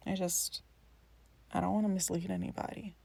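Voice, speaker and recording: Introspective young character, played by a woman, lowish and gravelly-edged, tentative, confiding, for intimate drama dialogue. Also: flat delivery, normal speed, warm tone, no echo, full character voice. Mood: wistful, unsure. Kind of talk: scripted character dialogue, naturalistic.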